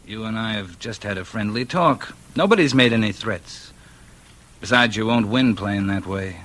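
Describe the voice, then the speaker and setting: A smooth male gangster boss played by an actor in a vintage radio crime drama. He speaks in a lowish voice with a genial, coolly amused manner and menace kept just under the surface. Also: moderate pace, fairly bright tone, lightly acted. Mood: calm menace.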